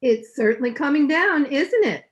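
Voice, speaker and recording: A woman's voice: high-pitched, expressive, normal speed, muffled recording. A woman playing a kindly, absent-minded older landlady in a motherly, fussing way, as in old radio comedy. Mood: chatty.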